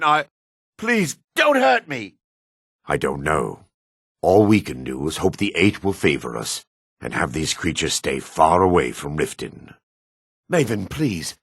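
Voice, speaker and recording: A man voicing a gruff, dry-mannered dark elf warrior and townsman in a fantasy video game, with a gravelly, husky voice. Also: very deep voice, dry recording, exaggerated voice. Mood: frightened, pleading, then resigned. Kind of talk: video game character voice lines.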